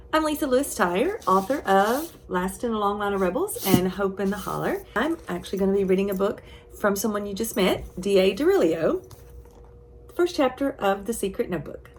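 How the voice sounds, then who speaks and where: A woman reads a children's novel aloud as an author presenting to young readers. Her voice has a medium pitch for a woman. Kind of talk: read-aloud of a middle-grade novel.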